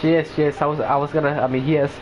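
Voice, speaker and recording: A man's voice: a little high, little pitch movement, quick pace. A man reacting casually to a video game as he plays it. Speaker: gamer commenting on gameplay. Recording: dull mic, clear background noise.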